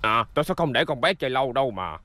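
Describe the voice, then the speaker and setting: A man's voice: medium pitch, expressive, rapid-fire, pushed and bright. A man voicing a kindly, easily flustered old grandfather in a cartoon dub, speaking in a hesitant, pleading manner. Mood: pleading, reassuring.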